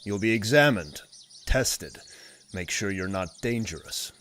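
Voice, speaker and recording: A man playing a gruff, suspicious wasteland security guard in an audio drama, curt and commanding. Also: on the low side, lively intonation, unhurried, fairly bright tone, a little hiss or hum. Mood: stern, suspicious. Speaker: voice actor.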